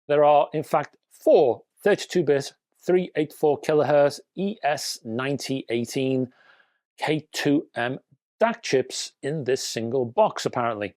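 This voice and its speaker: A man's voice: medium pitch, a little mellow, no echo. Male tech news presenter, conversational and wry, addressing viewers directly, recorded dry and close. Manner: conversational, rhetorical questions and wry asides.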